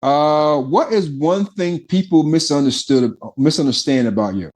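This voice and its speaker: A man's voice: fairly high pitch, normal speed, darkish tone. A man hosting a podcast interview, enthusiastic in a casual way, often stammering and repeating his words. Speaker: podcast host.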